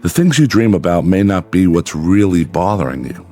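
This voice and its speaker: An actor playing a calm, rational psychiatrist in a radio drama, explaining things in a measured, formal way. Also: male voice, low voice, faint music behind. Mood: calm, analytical. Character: calm, rational male doctor, analytical psychiatrist. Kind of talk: vintage radio drama acting.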